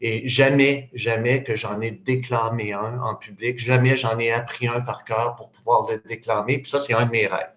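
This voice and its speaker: A man's voice: mid-range voice, fairly even intonation, moderate pace, hardly any pauses, fairly bright tone, muffled recording. Retiring Quebec man in his sixties, roomy sound. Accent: Quebec French. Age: 60s. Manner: hesitant, many fillers and restarts. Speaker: panel speaker, retired psychoeducator. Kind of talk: casual public talk.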